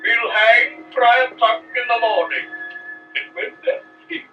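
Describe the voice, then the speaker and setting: A man playing a pompous, vengeful prince on a children's storybook record, overacting with drawn-out lines. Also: extremely high pitch, recorded from a distance, soft background music. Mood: scheming. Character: pompous, vengeful tyrant prince, shrill and theatrical. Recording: roomy, dull playback of old storybook record.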